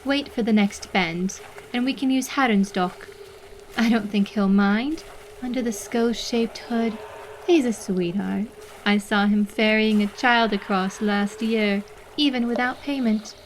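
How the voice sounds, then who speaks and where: A woman's voice: a little high, a little slow, slight background noise, natural voice. A woman playing a friendly sea monster in human form in an audio drama, earnest, soothing and matter-of-fact. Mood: calm.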